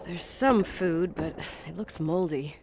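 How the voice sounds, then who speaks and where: A guarded young woman with a fairly low voice. She speaks with dry sarcasm in a naturalistic video game style. There is clear noise behind her voice. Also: slow pace, a little mellow, low-quality recording. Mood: dry, weary.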